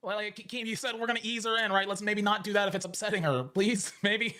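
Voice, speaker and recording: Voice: mid-range voice, fast talker, bright, forceful tone. A livestream moderator in casual interview chat, speaking in a placating, informal way with some swearing.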